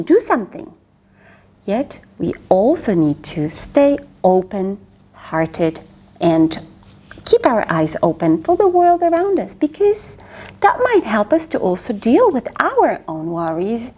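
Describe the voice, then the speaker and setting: Lively woman reading to children. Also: medium pitch, slightly rough. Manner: expressive, swooping, exclamatory. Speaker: children's book reader. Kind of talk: read-aloud storytelling to children.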